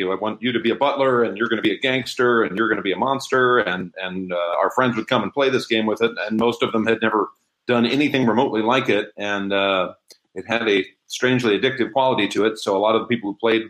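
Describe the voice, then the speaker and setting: A man's voice: lowish voice, fairly quick, a bit bright, low-quality recording. A middle-aged man speaking as an interview guest, telling a story casually.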